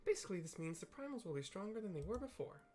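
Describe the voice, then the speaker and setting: A man's voice: high voice, big pitch swings, rapid-fire, warm tone, pitched above the performer's own voice. A man voicing an earnest, dutiful female leader in a fantasy game, speaking in formal, old-fashioned phrases with careful diction. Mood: grave, concerned.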